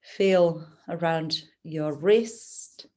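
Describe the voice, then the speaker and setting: A woman teaching yoga nidra, giving gentle, soothing, unhurried guidance through a relaxation practice. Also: deep voice. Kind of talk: guided relaxation instruction.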